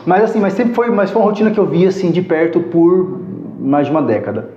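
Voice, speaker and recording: A talkative man in his thirties with a fairly high voice. He tells stories from his life in a casual way, laughing often and acting out what people said. The sound is echoey and a bit dull. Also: fairly quick, warm tone. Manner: chatty, laughs often, acts out quoted dialogue. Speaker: podcast guest. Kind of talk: casual storytelling.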